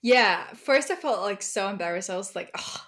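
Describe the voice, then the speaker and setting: A woman's voice: medium pitch, lively intonation, normal speed, fairly bright tone. A German-accented woman talks casually as a podcast guest, her English carrying a German first language underneath. She chats loosely, using plenty of fillers and laughing often. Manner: chatty, many fillers and laughs. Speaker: guest writer.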